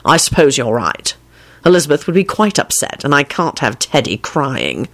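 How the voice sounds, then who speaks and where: A furious boss of a man, acted out by a woman storyteller, exasperated and sarcastic. He rants and swears, and his pitch swings widely as he scolds. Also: sing-song, moderate pace, a bit bright, exaggerated voice. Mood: sour, grudging. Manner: ranting, sarcastic, profane. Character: furious, exasperated male boss with a bellowing temper. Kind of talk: dramatic storytelling of fan fiction.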